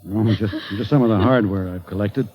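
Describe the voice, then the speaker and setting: An actor playing a shy, humble ballplayer who speaks haltingly to his wife, in 1940s radio acting style. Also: lowish voice, breathy edge, dull audio. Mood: tender. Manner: halting, bashful.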